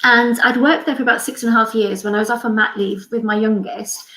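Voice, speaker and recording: A woman's voice: fairly high pitch, steady tone, a little fast, bright, forceful tone, reverberant, low-quality recording. A Welsh woman in her forties, a respiratory consultant, talking earnestly on a panel with many fillers and restarts. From Wales. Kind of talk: panel talk.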